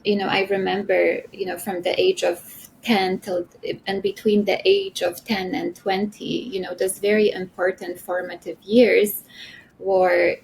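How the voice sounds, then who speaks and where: Gravelly-voiced woman, reflective guest. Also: medium pitch, level tone, a little slow, slight background noise, poor audio. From Poland. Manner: soft-spoken, reflective, many "you know" fillers. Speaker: podcast guest, esthetician. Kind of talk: interview conversation.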